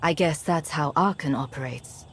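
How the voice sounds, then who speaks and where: Game heroine, commanding agent: lowish pitch, matter-of-fact, self-reliant, light noise behind. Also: female voice, moderate pace, a bit bright. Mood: cool, resolute. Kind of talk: video game voice acting.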